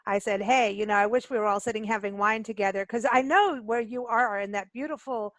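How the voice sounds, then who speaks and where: A woman's voice: mid-range voice, a little fast, a bit bright, no room sound. A woman co-hosting a radio talk show in a chatty, effusive way, repeating words for emphasis. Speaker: radio show co-host and travel publisher.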